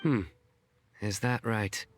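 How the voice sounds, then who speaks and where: An actor playing a young adventurer who tells bad puns in a dry, deadpan way in a game. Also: male voice, on the low side, slow pace, slight background noise. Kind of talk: game voice acting.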